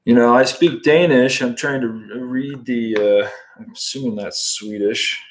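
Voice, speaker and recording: A man's voice: medium pitch, a little slow, rough recording. A man in his thirties from the United States, casually teaching fly tying on a livestream while chatting with viewers from home. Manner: relaxed, chatty, answers viewer comments while working. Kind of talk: casual live tutorial with chat. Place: home room, indoors.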